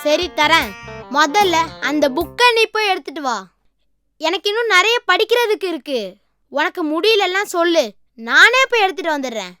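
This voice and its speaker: A woman voicing a studious, helpful young schoolboy in an animated series, with an Indian Tamil accent and an earnest, mildly scolding manner. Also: extremely high pitch, moderate pace, no echo, faint music behind, full character voice. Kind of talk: animated children's series acting.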